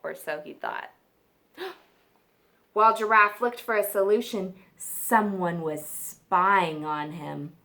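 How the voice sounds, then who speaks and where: A woman teacher reading a story aloud to young children, expressive and pausing to explain and ask them questions. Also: medium pitch. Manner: expressive, asks listeners questions. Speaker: kindergarten teacher.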